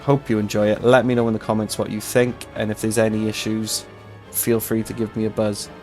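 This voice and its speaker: Hoarse, flat-voiced gaming man. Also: fairly low pitch, a little fast, soft background music. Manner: chatty, rambling explanations. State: slightly hoarse, tired voice. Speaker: host of a gaming channel. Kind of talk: casual game walkthrough.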